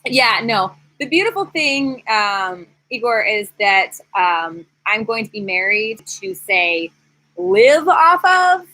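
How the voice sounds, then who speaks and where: Bright-voiced woman in her thirties, chatting casually. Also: medium pitch, takes it slowly, poor audio. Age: 30s. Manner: candid, animated, rambling. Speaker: YouTube host, former teacher. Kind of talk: casual live-stream chat, answering viewers. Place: screened outdoor porch.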